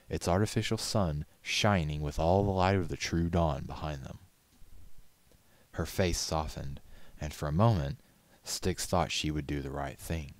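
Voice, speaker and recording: A man reading a story aloud as narrator, in a measured, solemn, dramatic audiobook style. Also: a bass voice, no room sound.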